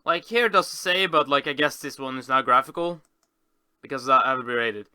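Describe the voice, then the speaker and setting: A man giving a casual commentary as a video host, rambling and often halting to correct himself. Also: medium pitch, sharp tone, smooth, dry recording, clean studio sound. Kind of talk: casual screen-tour commentary.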